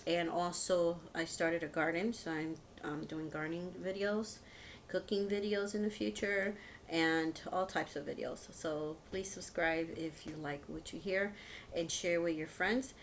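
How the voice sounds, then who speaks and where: A woman's voice: on the low side, fairly even intonation, unhurried, slight background noise. A woman in her fifties chatting casually to her viewers as a video host, in a rambling, hesitant, informal way. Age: 50s. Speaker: video host of a home and shopping channel.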